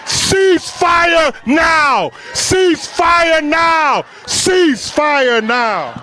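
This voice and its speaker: A man's voice: very high voice, animated, very slow, shouting, very noisy. A man preaching at an outdoor protest rally in a rising, chant-like cadence full of repetition.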